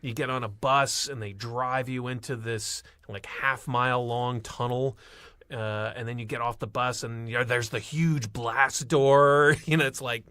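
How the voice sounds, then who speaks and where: Bright-voiced American man, close dry sound. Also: mid-range voice, fairly even intonation, a little slow, smooth, dry recording. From United States. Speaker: podcast panelist. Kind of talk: casual panel talk, telling a personal anecdote.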